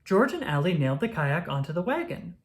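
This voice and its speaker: A woman reading a children's story aloud, with expressive, deliberate phrasing for young listeners. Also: fairly low pitch. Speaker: storybook reader. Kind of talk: children's read-aloud narration.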